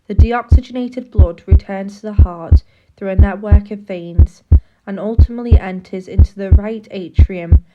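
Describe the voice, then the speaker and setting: A woman's voice: mid-range voice, heavy background noise. Woman narrating, dull lo-fi recording. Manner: measured, formal, explanatory. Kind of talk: scripted educational narration, public information film.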